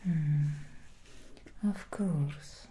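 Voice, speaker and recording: A woman voicing an alluring female demon hairstylist in a whispered ASMR roleplay, speaking in a breathy whisper close to the microphone with slow teasing hums between lines. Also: deep voice, dark tone, slight background noise. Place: small dressed studio set indoors. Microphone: close sensitive microphone.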